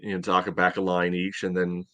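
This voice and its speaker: A man with a fairly low voice analyses sport casually as a co-host. His phrasing is halting and conversational, and the sound is dry and close. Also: monotone, a bit bright, no echo. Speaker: podcast co-host.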